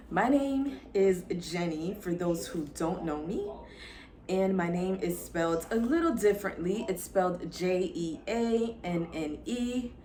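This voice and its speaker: A woman's voice: fairly low pitch, darkish tone. A young woman from Florida, a lifestyle vlogger, chatting casually and upbeat to her viewers as she introduces herself. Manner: chatty, upbeat, addresses viewers as y'all and girl.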